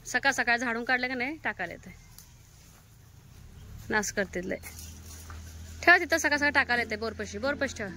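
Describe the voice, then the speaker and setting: A woman's voice: a little high, moderate pace, pauses often, bright, forceful tone, a little hiss or hum. A woman with a rural Maharashtrian Marathi accent, chatting in a homely, colloquial way as she narrates a family vlog. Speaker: family vlogger. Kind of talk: casual home vlog, chatty cooking narration.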